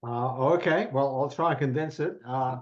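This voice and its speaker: Guest, Australian accent, Italian first language, casual storyteller, muffled lo-fi audio. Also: male voice, medium pitch, moderate pace. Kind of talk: casual podcast conversation.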